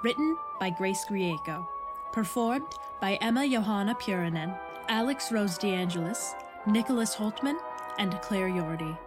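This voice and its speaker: A woman's voice: mid-range voice, animated, unhurried. A woman reading scripted podcast credits as an announcer. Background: loud music.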